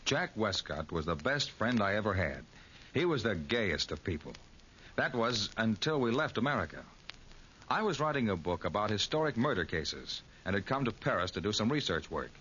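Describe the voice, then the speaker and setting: An actor playing an earnest, cautious American writer, in dramatic 1940s radio style. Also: male voice, on the low side, big pitch swings, moderate pace, a bit bright. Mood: grave, foreboding. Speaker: narrator and character. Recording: muffled, hissy 1940s radio recording.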